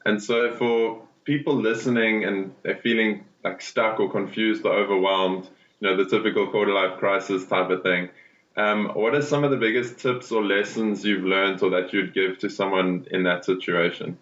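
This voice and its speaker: A man with a fairly low voice hosts a podcast and asks his questions in a measured way. The sound is roomy and somewhat muffled, with a lo-fi quality. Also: fairly even intonation, fairly bright tone. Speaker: podcast host. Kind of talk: interview questions.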